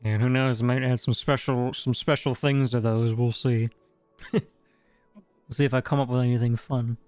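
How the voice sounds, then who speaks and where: A man's voice: mid-range voice, fairly even intonation, measured pace, a little mellow, clean tone, no room sound, soft background music. A man wrapping up a tabletop game stream as game master, chatty, laughing often and stumbling over repeated words.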